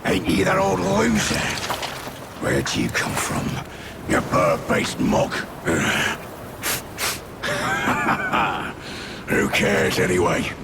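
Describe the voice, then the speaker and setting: An actor playing a gruff, boastful demon chieftain in a video game cutscene, taunting and crude. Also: male voice, low voice, huge pitch swings, very slow, pauses often, heavy background noise, heavily acted.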